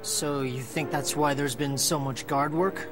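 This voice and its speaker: A man playing an excitable, easily flustered young sidekick in a video game cutscene, defensive and exasperated. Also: on the high side, measured pace, lightly acted. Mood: casual. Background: music.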